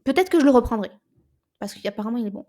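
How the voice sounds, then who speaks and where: Chatty Québécoise podcaster. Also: female voice, a little high, fast. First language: French. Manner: animated, repeats words when searching, trails off quietly. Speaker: podcast co-host. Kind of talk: casual book chat.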